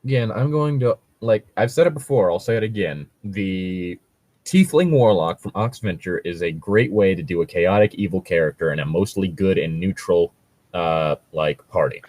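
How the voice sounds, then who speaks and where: Man, low voice, dry sound, casual commentary, rambling, halting delivery. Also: animated, darkish tone, dry recording. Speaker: co-host reading and commenting on tabletop gaming stories.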